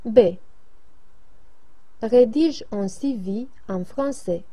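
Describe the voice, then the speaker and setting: Slow, mellow-voiced woman reading lessons. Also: fairly high pitch, breathy edge. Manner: slow, even, careful reading. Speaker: French lesson reader. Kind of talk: slow read-aloud of dialogues and exercises.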